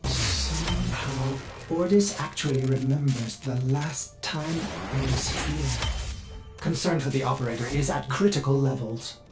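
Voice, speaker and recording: A devoted male ship computer from a video game, speaking through a robotic filter. It sounds fussy, polite and worried, with halting phrases over clear background music. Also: mid-range voice. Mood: uneasy wonder. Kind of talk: video game character acting. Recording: game audio with music behind.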